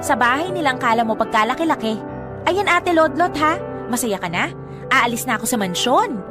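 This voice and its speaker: A proud, jobless younger sister with a Filipino accent in a radio drama. Her voice sits fairly high, combative and quick to answer back with sarcasm. Music runs clearly behind her. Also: animated. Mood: defiant, bitter. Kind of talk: Tagalog radio drama acting.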